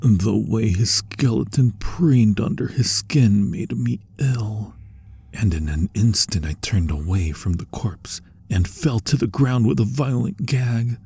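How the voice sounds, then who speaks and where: A man voicing an anguished vampire in a hushed, deliberate dramatic ASMR roleplay monologue, with pauses and breathy phrase endings. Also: on the low side, exaggerated voice. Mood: haunted, dreading. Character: anguished male vampire, formerly human, confessing to lost love.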